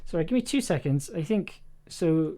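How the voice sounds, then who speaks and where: A man's voice: a little high, animated, moderate pace, dark tone. A man directing a recording session as streaming host, casual and encouraging, often searching for his words.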